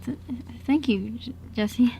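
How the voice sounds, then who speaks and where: A woman's voice: fairly high pitch, unhurried, dark tone. A woman playing a bookish, shy six-year-old boy who stammers a little in an amateur radio drama. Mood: shy, warm. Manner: hesitant, stammering, boyish. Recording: noisy amateur radio recording.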